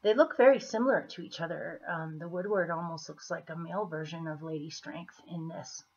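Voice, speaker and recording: Muffled lo-fi recording, woman, lowish pitch, mildly hoarse, unhurried casual tarot reader, deliberate pauses. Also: a little mellow. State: slightly hoarse, scratchy throat. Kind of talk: casual tarot reading, explaining cards. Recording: dull, muffled lo-fi audio.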